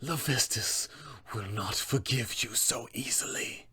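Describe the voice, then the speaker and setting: A man's voice: mid-range voice, pushed and bright, husky, full character voice. Man acting out a haughty, cold wizardess villain. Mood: dying whisper. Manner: disdainful, measured. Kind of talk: tabletop game character acting.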